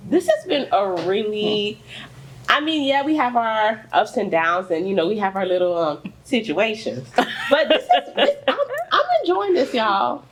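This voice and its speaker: Woman, thirties, podcast co-host, lively swinging pitch, playful, faint hiss behind. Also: unhurried. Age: 30s. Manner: animated, playful, asks the others questions. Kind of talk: casual chat.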